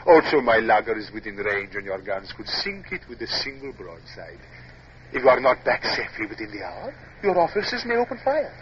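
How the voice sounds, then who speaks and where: An actor in an old radio drama playing a suave, sardonic Spanish naval captain, formally polite and smoothly cruel. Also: male voice, mid-range voice, big pitch swings, a little fast, natural voice. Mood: smooth, coaxing. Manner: silky formal politeness, mocking. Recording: muffled old radio recording, faint noise.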